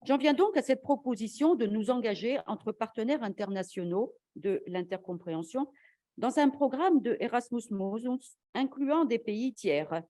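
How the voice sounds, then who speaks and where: A woman academic giving a formal conference lecture in a measured, argumentative way, as an invited speaker. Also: on the high side, warm tone, no room sound.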